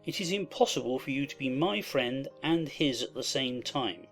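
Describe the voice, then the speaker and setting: Man playing sad formal period husband, gravelly creak, pitch moves around a lot, insistent, faint music. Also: animated, moderate pace, a bit bright. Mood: despondent, firm. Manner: formal, despondent, firm. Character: sorrowful, proud, insistent gentleman husband. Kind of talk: dramatized classic novel reading.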